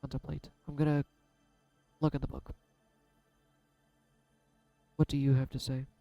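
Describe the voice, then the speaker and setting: A soft-spoken man musing aloud in a candid, self-deprecating way as he gives a casual tarot reading. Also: medium pitch, little pitch movement, a little slow, creaky, dry recording, faint music behind. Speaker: tarot reader. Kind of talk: casual reflective vlog.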